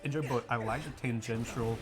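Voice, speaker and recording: A man co-hosting a casual podcast stream, explaining his views in halting, earnest bits. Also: medium pitch, steady tone, over music. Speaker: podcast co-host. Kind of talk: casual chat over gameplay.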